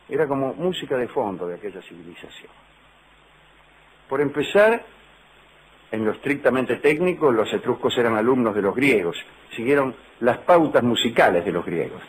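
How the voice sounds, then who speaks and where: A man's voice: mid-range voice, a little hiss or hum, rough recording. A middle-aged man with a Rioplatense Spanish accent, hosting a radio show as a humorous lecture with dry, digressive asides. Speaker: radio host.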